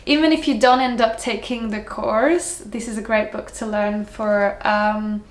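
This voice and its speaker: A woman's voice: medium pitch, steady tone, measured pace, reverberant, slight background noise. A young woman from Slovakia with a mixed Slovak-Hungarian accent, chatting to camera in a casual, rambling way, full of ums, restarts and easy laughs. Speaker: yoga teacher vlogger.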